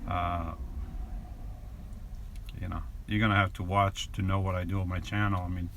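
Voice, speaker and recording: Man, 40s, New York accent, unhurried chat, many fillers, noisy van. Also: low-pitched, level tone, gravelly, fairly bright tone. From New York and New Jersey. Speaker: vlogger. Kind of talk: live stream, reading viewer questions. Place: inside a van. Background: inverter hum.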